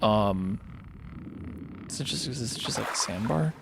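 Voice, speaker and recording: Tired, low-voiced man on a stream. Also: animated, unhurried, gravelly, background noise. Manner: rambling, thinking aloud. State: tired, voice starting to go hoarse. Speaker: livestreamer hosting a charity gaming stream. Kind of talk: casual unscripted game-stream chat.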